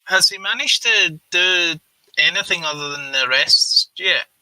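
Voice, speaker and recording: A man's voice: high voice, a little slow, bright, forceful tone, no room sound, rough recording. A man in casual livestream conversation, opinionated and often repeating his phrases as he goes. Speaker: co-talker on a livestream. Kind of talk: casual political chat.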